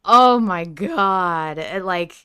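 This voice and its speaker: A woman's voice: fairly low pitch, animated, very slow, sharp tone, clean tone, dry recording, studio quality. A woman co-hosting a podcast, talking casually and emphatically and swearing freely as she discusses a story. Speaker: podcast co-host. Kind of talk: casual fan discussion chat.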